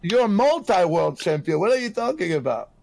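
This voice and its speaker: A man with a slightly high voice who jumps into a casual group chat with excited comments. He talks in eager, quick bursts. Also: creaky.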